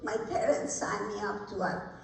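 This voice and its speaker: A woman in her nineties from Hungary, giving personal testimony to an audience in a plain-spoken, halting way. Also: mid-range voice, gravelly. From Budapest, Hungary. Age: 90s. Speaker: guest speaker, Holocaust survivor. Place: large hall at a ceremony. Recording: echoey hall, distant mic, faint noise.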